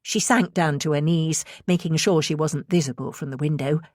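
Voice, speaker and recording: A woman's voice: low voice, moderate pace, a little mellow, no room sound, clean studio sound. A woman reading scripted suspense fiction as an audiobook narrator.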